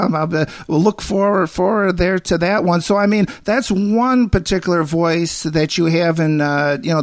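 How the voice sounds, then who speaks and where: A man's voice: sits high, no room sound, clean studio sound. A man hosting a radio interview, enthusiastic and stumbling over his words as he asks questions. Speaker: radio host. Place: radio studio.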